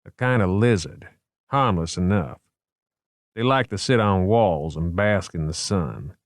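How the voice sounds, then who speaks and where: Male narrator as calm genteel investigator in an audiobook, low voice, measured n wry, very dry sound. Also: no echo.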